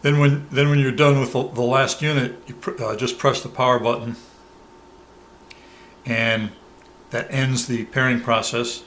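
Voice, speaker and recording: Male product reviewer, medium pitch, instructional step-by-step delivery, halting phrasing, audible background noise. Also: level tone, a bit bright. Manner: halting, pauses mid-sentence while demonstrating. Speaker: product reviewer giving a demonstration. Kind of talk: hands-on tutorial, step-by-step explanation. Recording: clear background noise, room ambience.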